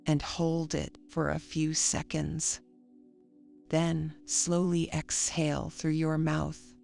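Woman, meditation guide, very slow pace, gravelly creak, calm and soothing, scripted delivery. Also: sits low. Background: faint music.

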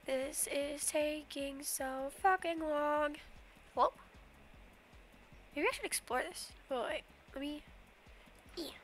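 A young woman streaming a game from her bedroom, rambling casually and humming silly nonsense sounds, a little sniffly from a cold. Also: sits high. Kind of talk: casual livestream commentary while playing.

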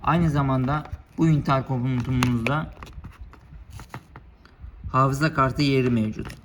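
A man presenting a product for a motorcycle shop, explaining its buttons one by one in a steady, formal way, recorded indoors under a building. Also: mid-range voice, a little slow, background noise. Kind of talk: product demonstration.

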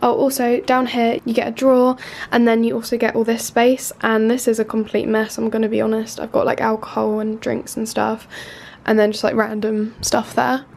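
A woman's voice: on the high side, level tone, gravelly, a little mellow. A young woman of about twenty, nasal from a cold, chatting casually through a tour of her student bedroom, filmed on a handheld camera. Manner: chatty, filler-heavy, loose and unhurried. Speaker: vlogger. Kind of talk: casual room tour chat. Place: small student bedroom, indoors. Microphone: handheld camera mic.

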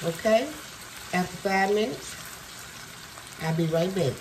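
A woman's voice: mid-range voice, measured pace, background noise. A woman hosting a home cooking video, chatty and folksy, her voice worn from a cold. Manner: chatty, folksy, with many fillers. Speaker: cooking show host. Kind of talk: casual cooking demonstration. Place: home kitchen.

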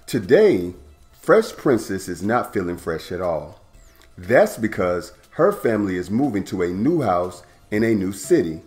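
A man in his thirties hosting a storytime, reading a children's picture book aloud indoors with a playful, animated delivery. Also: lowish voice, a little slow, soft background music. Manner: animated, playful, stretches words for young listeners. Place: indoor room at home.

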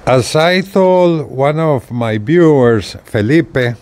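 A man in his sixties giving a casual explanatory vlog talk outdoors, haltingly, often repeating words. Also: mid-range voice. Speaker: gadget reviewer. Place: outdoors on an apartment balcony. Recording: steady outdoor ambient noise.

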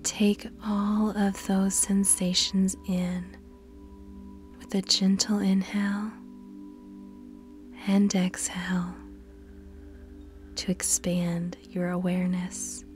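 A woman narrating a scripted guided meditation in a soft, breathy, partly whispered voice, measured and instructional, with pauses between phrases. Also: mid-range voice, level tone. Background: background music.

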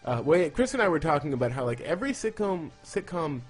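A man's voice: on the high side, big pitch swings, brisk. A man co-hosting a podcast, chatting casually and jokingly. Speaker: podcast co-host. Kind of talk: casual comedic podcast chat. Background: loud music.

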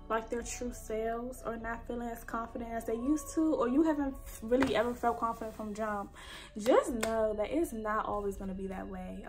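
A young woman in her twenties chatting casually and warmly while she does her makeup, her nose stuffy from allergies. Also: a little high. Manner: chatty, heartfelt, colloquial. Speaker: beauty vlogger. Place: bedroom, indoors.

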